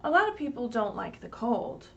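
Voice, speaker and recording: A woman with a high, very lively voice reads a picture book to small children. She takes her time, explains things simply and keeps checking in with little questions. She sounds a bit away from the mic, with faint noise in the recording. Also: normal speed, vocal fry. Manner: sing-song, asks questions and checks in with "right?". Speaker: children's librarian leading story time. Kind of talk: read-aloud picture book story time for young children. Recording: distant mic, faint background noise, livestream.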